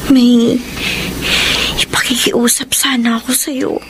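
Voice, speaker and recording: A woman's voice: a little high, little pitch movement, slow pace, heavy background noise. An actress playing a timid, anxious young woman troubled by doubts, in an earnest, melodramatic Filipino radio drama style. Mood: troubled, pleading.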